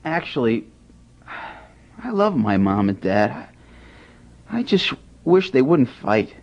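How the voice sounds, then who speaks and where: A man's voice: mid-range voice, sing-song, slow pace. An actor playing a rebellious fourteen-year-old boy in a radio drama, sullen and defiant. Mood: tipsy. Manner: sullen, defiant, giggly when drinking. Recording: dull lo-fi radio recording.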